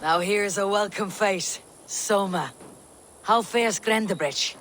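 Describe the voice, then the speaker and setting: A female Viking war leader in a dramatic game. She sounds steady and authoritative, with a measured delivery at a middling pitch. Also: a little hiss or hum. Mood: warm. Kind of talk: dramatic video game acting.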